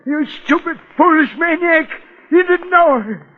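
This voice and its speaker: An old radio drama recording, narrow as a phone line, carries a querulous, sickly man. His voice is very high for a man, and he is bitter and quick to take offence. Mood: enraged. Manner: querulous, indignant. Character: bitter, sick, hot-tempered brother of dead woman.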